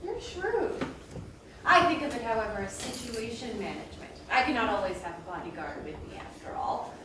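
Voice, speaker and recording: A haughty queen of the dead, acted by a woman in a drama, with a high voice that sweeps up and down. She speaks formally and gives orders with cool condescension. The recording is noisy, with heavy echo. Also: big pitch swings, normal speed. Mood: coolly condescending. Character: imperious, coolly condescending goddess queen of the underworld. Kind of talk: theatrical drama acting. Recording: distant, very echoey, noisy room recording.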